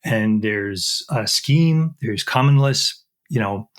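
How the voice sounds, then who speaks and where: A man's voice: fairly low pitch, animated, takes it slowly. A middle-aged man, a chemistry professor, explaining technical work in an interview with frequent fillers and restarts.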